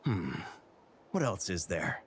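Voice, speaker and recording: An actor playing a thoughtful, knowledgeable man in a video game, talking in a measured, musing way. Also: deep voice, sing-song, a little hiss or hum, heavily acted. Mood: pondering. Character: thoughtful, knowledgeable man pondering local legends.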